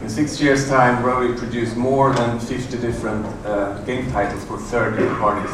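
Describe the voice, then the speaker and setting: A middle-aged man giving a conference talk in a large hotel room, halting with many fillers and restarts. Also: medium pitch, little pitch movement. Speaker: conference presenter. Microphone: room audio from a far camera. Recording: echoey, distant room recording with noise.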